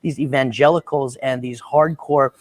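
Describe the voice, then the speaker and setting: A man chatting casually as a panel guest, earnest and halting, with many restarts. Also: medium pitch, steady tone, no echo. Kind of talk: casual chat.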